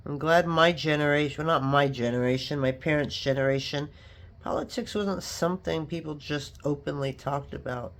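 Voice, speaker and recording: A craft vlogger who sounds a little sniffly and stuffed up. The speaker talks casually through a hands-on project and drifts into chatty asides. The voice sits at a middling pitch. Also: moderate pace, a bit bright. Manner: chatty and rambling, with frequent asides. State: sniffly, stuffed up from allergies or a cold. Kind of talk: casual tutorial chat while working.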